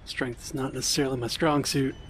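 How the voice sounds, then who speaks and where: A man playing a tabletop game, describing his moves casually in a measured, halting way. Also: mid-range voice, fairly even intonation, fairly bright tone, slight background noise.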